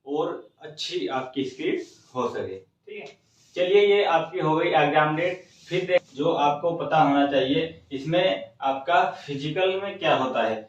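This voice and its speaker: Echoey, slow-talking man. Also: fairly high pitch. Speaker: exam coaching presenter. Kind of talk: informal explanatory exam update for students. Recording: muffled, echoey room, distant microphone.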